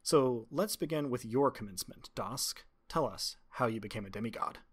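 A man speaking as game master and host, introducing and narrating a tabletop game in an articulate, measured, prepared way. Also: medium pitch, animated, husky.

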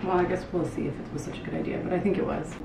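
Female cooking host, thirties, lowish register, informal and halting delivery; roomy kitchen sound with clear background noise. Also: fairly even intonation. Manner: loose, halting chat with frequent "like". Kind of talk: casual cooking demonstration, talking while working. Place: small indoor kitchen with tiled floor. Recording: roomy, distant mic with loud room noise.

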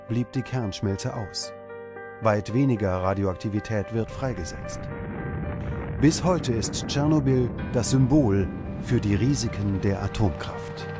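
A man reading scripted documentary narration in a measured, serious way. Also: medium pitch. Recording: music under the narration. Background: background music.

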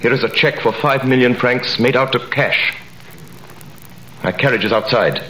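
Vengeful nobleman, played by a man, 1930s radio drama, commanding, theatrical, forceful bright voice, roomy old recording. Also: mid-range voice, moderate pace, slight background noise, natural voice. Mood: cold, businesslike. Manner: commanding, theatrical, swings from cold hush to thundering. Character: vengeful nobleman, a wronged man returned in triumph.

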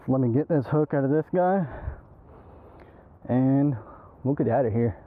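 A young American man chatting casually and excitedly in an informal, slangy way, like an angler vlogging outdoors. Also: mid-range voice, normal speed. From East Texas, United States. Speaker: angler filming a fishing video. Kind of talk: casual outdoor vlog chat. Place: outdoors at a swampy pond bank. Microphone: body-worn action camera. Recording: muffled narrow-band action-camera audio, outdoor noise.

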